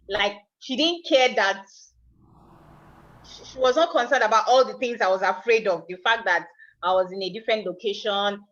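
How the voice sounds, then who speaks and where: A woman's voice: mid-range voice, fairly bright tone, lo-fi sound. A woman with a Nigerian accent, a coaching client, talking hesitantly and restarting her thoughts as she reflects. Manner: hesitant, restarts thoughts while thinking aloud.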